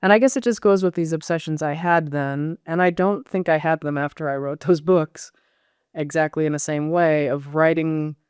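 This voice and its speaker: A middle-aged American woman speaks in a smooth, clear voice, close and dry. She thinks aloud in a reflective interview style, often circling back and questioning herself. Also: low-pitched, steady tone, normal speed, darkish tone, no room sound. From United States. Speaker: novelist, podcast guest.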